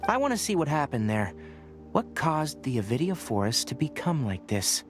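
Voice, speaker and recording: An actor playing a young male forest ranger and scholar in a video game, measured and lecturing. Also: fairly high pitch, very animated, over music. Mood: matter-of-fact. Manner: measured, lecturing, matter-of-fact.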